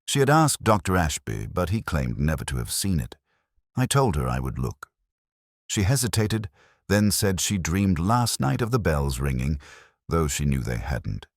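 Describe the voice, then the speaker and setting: A man playing a brooding police inspector who narrates a murder case in a measured, reflective, literary way. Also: rumbling low, sing-song, dry recording, studio quality, heavily acted. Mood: somber, reflective. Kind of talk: first-person scripted detective story narration.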